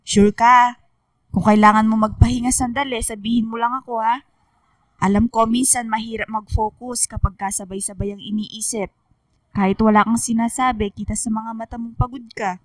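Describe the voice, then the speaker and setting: Woman acting as motherly female teacher, Filipino accent, radio drama, gentle reassurance, slightly high pitch. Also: steady tone, normal speed, no room sound, far from the mic, natural voice. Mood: reassuring. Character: kind, attentive female high school teacher.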